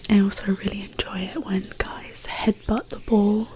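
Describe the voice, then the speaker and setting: A woman speaking as an ASMR host in a hushed, whispered, casual question-and-answer style. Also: medium pitch, flat delivery. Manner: slow, hushed, gently paced delivery.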